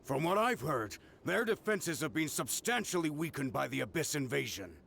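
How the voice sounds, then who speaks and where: A man's voice: fairly high pitch, very animated, moderate pace, gravelly, fairly bright tone, a little hiss or hum, full character voice. A man voicing a tough, resolute war leader in a video game, blunt and commanding in manner. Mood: confident.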